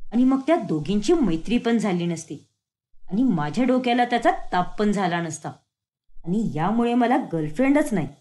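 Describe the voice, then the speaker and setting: A woman's voice: mid-range voice, dark tone, pitched below the performer's own voice, natural voice. A woman voicing a harried young man caught between two women, pleading and exasperated.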